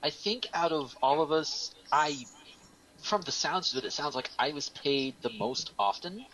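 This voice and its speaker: A man's voice: fairly high pitch, animated, a little slow, fairly bright tone, rough recording. A man talking casually as a panelist on an online radio show. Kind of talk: casual group chat. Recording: faint room noise behind voice.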